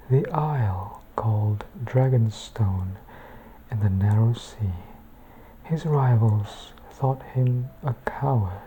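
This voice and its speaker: A woman reading a bedtime book in ASMR style, hushed and measured, with a soft, breathy voice that often slips into a whisper. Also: extremely low pitch, narrow, tinny audio, a little hiss or hum.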